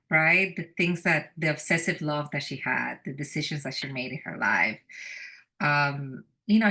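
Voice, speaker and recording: A woman, a film editor and director, with a loud voice, talking loosely in an interview with many fillers and restarts. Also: low voice. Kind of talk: casual interview answer.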